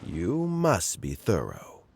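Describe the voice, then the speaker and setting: Solemn game elder; very creaky low voice, formal, stern, deliberate phrasing. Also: male voice, wildly swooping pitch, darkish tone, a little hiss or hum, full character voice. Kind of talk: video game voice acting.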